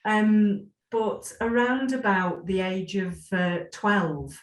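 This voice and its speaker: A woman's voice: mid-range voice, takes it slowly, roomy sound. A woman, thinking back as an interview guest, with plenty of ums and restarts. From Scotland. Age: 40s. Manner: warm, reflective, many fillers and restarts. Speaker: interview guest, former player. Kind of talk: casual interview.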